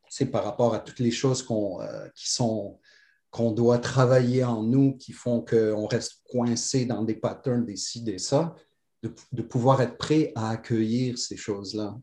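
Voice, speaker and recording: A middle-aged man with a mixed French, pied-noir and Moroccan accent with a Quebec tinge, telling his life story in a rambling way full of restarts. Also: mid-range voice. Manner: rambling, many restarts and fillers, warm and animated. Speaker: guest. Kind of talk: conversational storytelling. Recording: roomy echo.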